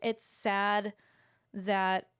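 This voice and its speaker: A woman's voice: mid-range voice, little pitch movement, drawn-out words, a bit bright, smooth. Earnest woman hosting a chat. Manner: earnest, reflective, many "you know"s. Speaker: host and studio owner. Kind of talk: casual conversation.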